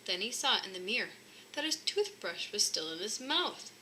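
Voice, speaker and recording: Very bright, animated woman storyteller. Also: medium pitch, normal speed, a little hiss or hum. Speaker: storybook reader. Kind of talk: expressive children's story narration.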